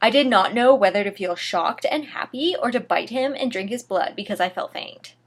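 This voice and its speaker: A woman's voice: medium pitch, animated, moderate pace. A woman giving a theatrical dramatic reading of a fan fiction story, with emphatic narration and dry asides.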